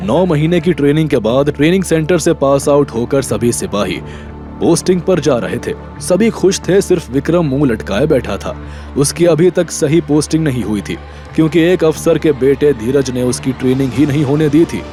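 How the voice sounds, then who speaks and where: A man's voice: fairly high pitch, expressive, moderate pace, warm tone, soft background music. A man narrating a scripted audio drama in a dramatic storytelling style.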